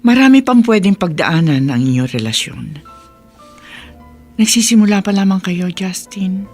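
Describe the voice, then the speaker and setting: A woman playing a caring but firm middle-aged mother in a radio drama, speaking in a measured, earnest way. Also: medium pitch, very animated, a little mellow. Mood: gently firm. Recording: music bed behind voice.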